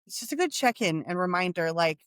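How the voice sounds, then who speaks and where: A woman's voice: on the low side, lively intonation, brisk, fairly bright tone, clear, resonant tone, dry recording, studio quality. A woman co-hosting a podcast, blunt and casual, who swears freely and laughs easily. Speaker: podcast co-host. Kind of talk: casual chat.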